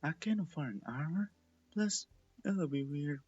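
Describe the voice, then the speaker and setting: A man's voice: a little high, lively intonation, unhurried, darkish tone, husky, no room sound, lo-fi sound, soft background music, pitched above the performer's own voice. A man voicing a playful, bashful young female pony in a story reading, with a girlish, teasing manner. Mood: matter-of-fact.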